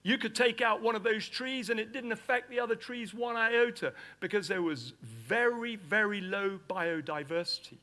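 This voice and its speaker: A middle-aged man from Nigeria preaches with a lively, storytelling delivery. His voice sits high for a man and sounds bright and forceful. He acts out each scene as he tells it. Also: moderate pace, hardly any pauses. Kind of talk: storytelling sermon.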